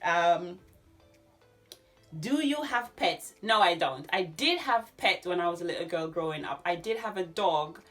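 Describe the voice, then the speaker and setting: A Jamaican woman in her thirties talks casually to camera as a vlogger. Her voice is fairly low and bright, with an animated, chatty manner. Faint music plays behind her. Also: measured pace. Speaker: YouTube vlogger. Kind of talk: casual chat answering questions to camera. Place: small furnished room at home.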